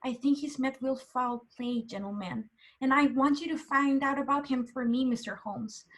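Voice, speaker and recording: A woman's voice: high-pitched, normal speed, lightly acted. A woman playing a humble, anxious village seamstress, deferential and pleading, in a radio play. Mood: worried. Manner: pleading, plain-spoken, deferential.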